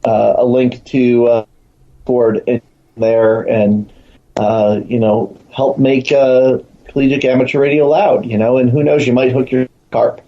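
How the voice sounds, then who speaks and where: A man's voice: mid-range voice, fairly even intonation, measured pace. A man speaking as a guest in casual, promotional talk about a program he helps run, over lo-fi call audio. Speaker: show guest, program organizer.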